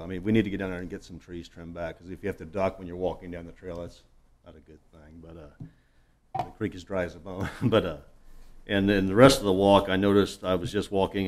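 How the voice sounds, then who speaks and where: A man's voice: deep voice, steady tone, a little fast. A man speaking as a council member, making informal, blunt and folksy complaints in a meeting room.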